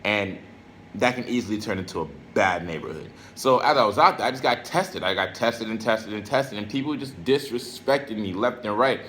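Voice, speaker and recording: A man's voice: on the low side, lively intonation, moderate pace, a bit bright, slight background noise. An American man giving a casual motivational monologue to camera in streetwise slang, repeating phrases for emphasis. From Brooklyn and Florida, United States. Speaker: self-help video creator.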